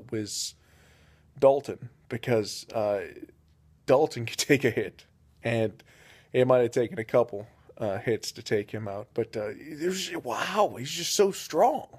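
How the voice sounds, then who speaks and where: Rambling deadpan young male storyteller. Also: mid-range voice, husky. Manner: rambling, many uh fillers, deadpan delivery. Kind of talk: improvised comedic storytelling.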